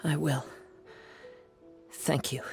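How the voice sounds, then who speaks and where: An actor playing a gentle, calm young wandering samurai in a video game, speaking in a measured, formal way. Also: male voice, a little high, gravelly, music in the background, full character voice. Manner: measured, soft-spoken, formal.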